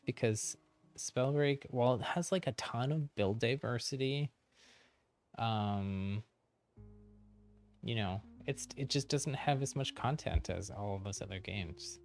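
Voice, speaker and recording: A man talking casually as he livestreams a game, thinking aloud in a halting, informal way. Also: medium pitch. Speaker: livestreamer, channel host. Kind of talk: casual game stream commentary and chat.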